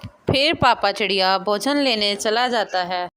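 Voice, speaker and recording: Female storyteller for a children's cartoon, medium pitch, scripted narration. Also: measured pace, fairly bright tone, clean tone.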